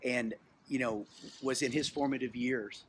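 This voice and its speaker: A man's voice: medium pitch, steady tone, measured pace. Middle-aged Texan storyteller, man, noisy surroundings. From Texas, United States. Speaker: museum storyteller and co-host. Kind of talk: informal personal storytelling. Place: on location at a historic lodge. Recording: clear background noise, on location.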